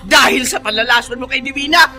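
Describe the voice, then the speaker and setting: A high, piercing older Filipino housekeeper who scolds and accuses with fierce melodramatic anger. Her delivery is sharp and forceful, made for radio drama, with music faintly underneath. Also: quick pace. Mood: enraged, accusing. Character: furious older housekeeper woman confronting a young rival.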